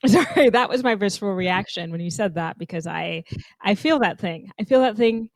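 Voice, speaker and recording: Lively woman from Zambia, dry sound. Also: medium pitch, moderate pace, no echo. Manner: animated, thoughtful, laughs often. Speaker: podcast co-host. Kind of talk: casual conversation.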